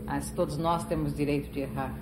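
A Brazilian woman playing an imperious, scheming gang boss in a measured manner in a 1970s telenovela. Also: low voice, fairly even intonation, very noisy, lightly acted. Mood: cool, commanding. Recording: echoey old TV audio, loud music behind.

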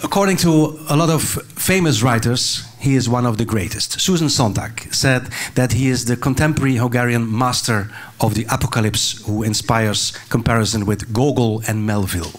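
A man's voice: medium pitch, normal speed, few pauses. An older man introducing and interviewing an author on stage, earnest, with restarts and fillers, into a handheld mic. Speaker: moderator. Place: stage of a large hall.

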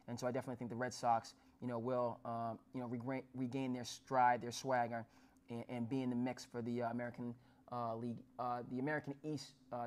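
A man in his thirties from New Jersey hosting a sports talk show, using formal phrasing with frequent fillers and restarts. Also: mid-range voice. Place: small studio with dark backdrop.

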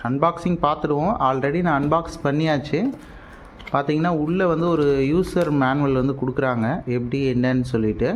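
Slightly high-voiced Tamil Nadu man, noisy recording. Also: fairly quick. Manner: explanatory, mixes English words into speech. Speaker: product reviewer. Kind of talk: casual unboxing vlog. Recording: noticeable steady background noise.

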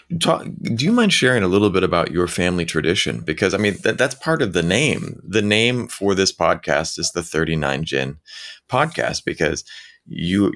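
A middle-aged man hosts a podcast over a video call from a music room at home. His voice is very low with a gravelly fry. He sounds eager and often doubles his words. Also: a bit bright. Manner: enthusiastic, repeats words. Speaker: podcast host. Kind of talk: casual interview hosting. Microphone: video call webcam.

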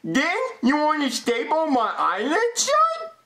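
Bright, bratty, high-pitched little boy. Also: wildly swooping pitch, takes it slowly, full character voice. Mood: pestering, baffled. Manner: whiny, stubborn, argumentative. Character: bratty, whiny, dim-witted little boy puppet. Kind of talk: comedy puppet skit voice acting.

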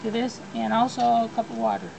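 Woman cooking instructor, fairly high voice, talks through each step in plain words; outdoor noise behind her. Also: little pitch movement, brisk, vocal fry. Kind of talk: cooking demonstration. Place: backyard outdoors.

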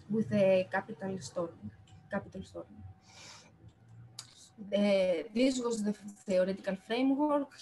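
A woman lecturer with a Greek accent presenting an academic talk over slides on a video call, talking quickly with frequent restarts. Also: medium pitch, darkish tone, a little hiss or hum, rough recording. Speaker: political science lecturer. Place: home study with bookshelves. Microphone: laptop webcam on video call.

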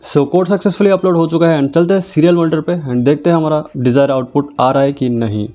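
A man hosting a screen-recorded tech tutorial, explaining each step calmly and mixing in English technical terms. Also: fairly high pitch, quick pace.

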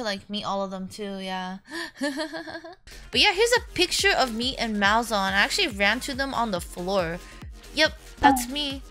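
A young woman with a fairly high voice tells her stories in a loose, chatty way, swearing now and then. She swings from bursts of excitement to dry, flat asides, with faint music underneath. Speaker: VTuber streamer. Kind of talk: casual storytelling vlog.

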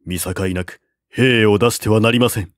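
A man voicing a calm, shrewd strategist. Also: fairly low pitch, no room sound, studio quality, natural voice. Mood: calm counsel. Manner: measured, quiet, persuading. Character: calm shrewd male strategist, cautious adviser.

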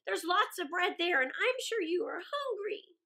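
Helpful male crow for a children's tale, female performer: emphatic, coaxing, very high-pitched. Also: expressive, normal speed, vocal fry, sharp tone, full character voice. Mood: eager, reassuring. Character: eager, helpful male forest crow, kindly messenger. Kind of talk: animated children's storybook character voice.

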